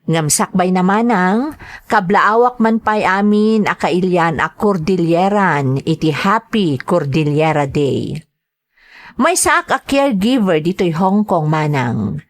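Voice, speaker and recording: A woman's voice: fairly low pitch, expressive, takes it slowly. A Filipino woman with an Ilocano accent, hosting a radio drama and reading out greetings and letters. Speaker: radio drama host and narrator.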